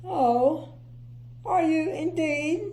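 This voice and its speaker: An older woman voicing a miserable, self-pitying little man from a storybook, with a squeaky, whiny, mournful delivery. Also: extremely slow, dark tone, slight background noise, heavily acted. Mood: miserable, sniffy.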